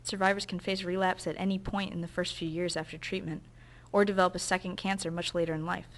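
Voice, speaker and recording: Female documentary narrator: earnest, measured scripted read, lowish pitch. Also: little pitch movement.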